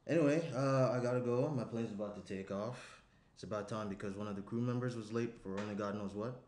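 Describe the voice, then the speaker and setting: A man's voice: lowish voice, brisk, darkish tone, a little hiss or hum, close to an everyday voice. A young man playing a caring, chatty fiancé in a table read. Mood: caring, hurried. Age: young adult. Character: caring, chatty fiancé leaving a phone message. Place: small room at a round table. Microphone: shared desk microphone. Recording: roomy indoor echo.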